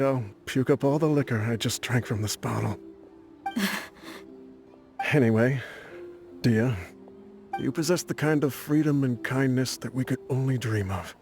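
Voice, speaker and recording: A man's voice: mid-range voice, natural voice. An actor playing an ailing, aging desert warband leader in a game, chuckling and boastful as he rambles. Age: older.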